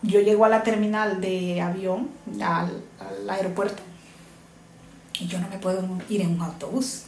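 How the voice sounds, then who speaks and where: A woman's voice: mid-range voice, fairly even intonation, unhurried, vocal fry, darkish tone, slight background noise. Earnest woman in an echoey room. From Honduras. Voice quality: earnest, repeats herself for emphasis. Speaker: interviewee, migrant mother. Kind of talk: earnest personal testimony. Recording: echoey room with faint ambience.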